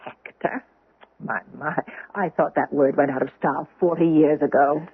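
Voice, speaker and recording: A woman playing a middle-aged housekeeper, inquisitive and briskly nagging, in an old-time radio drama. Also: deep voice, fairly even intonation, slightly rough, no room sound. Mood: brisk, scolding. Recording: muffled, dry vintage radio recording.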